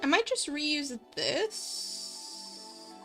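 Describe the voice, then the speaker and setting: A woman's voice: sits high, huge pitch swings, very slow. A woman hosting a casual livestream, talking informally and a little self-consciously in a rambling way as she works. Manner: informal, rambling, self-conscious, giggly, mutters while working. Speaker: livestream host and visual novel writer. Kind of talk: casual livestream chat while coding and reading viewer comments. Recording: music playing behind the voice. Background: background music.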